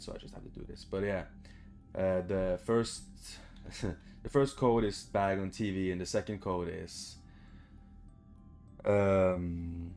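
Low, creaky young man gaming. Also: darkish tone. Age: young adult. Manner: sweary, informal. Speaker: gaming video creator. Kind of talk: casual gameplay commentary. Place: small bedroom, indoors.

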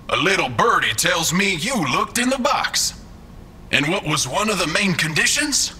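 Smug, bright-voiced, theatrical villainous boss. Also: male voice, a little high, slightly rough, full character voice. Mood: amused, smug. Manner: theatrical, falsely polite, chuckling condescension. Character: smug, mocking male mastermind employer as hologram. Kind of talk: scripted audio drama acting.

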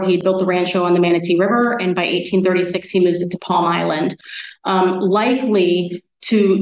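A lowish-voiced woman chatting through a slide talk at a local society meeting, heard from the back of an echoey room. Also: darkish tone. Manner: conversational, colloquial storytelling. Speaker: researcher giving a lecture. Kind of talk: informal history lecture with slides. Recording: dull, echoey room, distant microphone.

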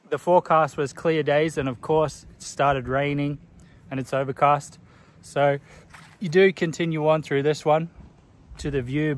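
A man in his thirties giving relaxed trail commentary to a phone held at arm's length, outdoors on a forest hiking path. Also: on the high side. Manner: relaxed, informative, talking while walking. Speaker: hiking vlogger. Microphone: phone camera at arm's length.

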